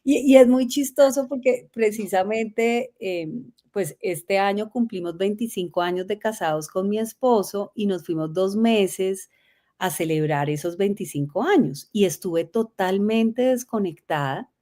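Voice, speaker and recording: A middle-aged Colombian woman, a guest telling her life story in a lively way, laughing often. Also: mid-range voice, a little slow. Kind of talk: conversational interview.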